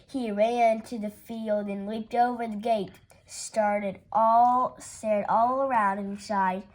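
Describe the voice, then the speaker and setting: Slow, expressive woman reading a story. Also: a little high. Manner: unhurried, expressive read-aloud with playful character voices. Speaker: storytime reader. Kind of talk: reading a rhyming picture book aloud.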